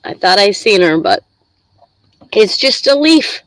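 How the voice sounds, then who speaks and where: A woman's voice: medium pitch, sing-song, a little slow, no room sound, rough recording. A middle-aged woman from Michigan hosting a casual live stream, talking in a chatty, rambling way while congested with a head cold. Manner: chatty, rambling, reads chat aloud.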